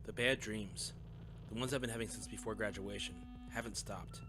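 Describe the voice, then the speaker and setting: Audio drama husband male actor: lowish voice, calm, measured, earnest, faint music underneath. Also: lightly acted. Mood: serious. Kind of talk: audio drama acting and narration.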